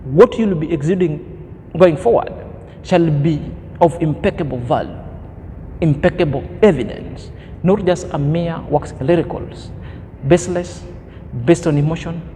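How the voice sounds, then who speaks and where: A man's voice: a little high, mellow, long reverb tail, slight background noise. A man in his thirties giving an opinion commentary as a vlogger, preachy and emphatic, fond of grand words. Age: 30s.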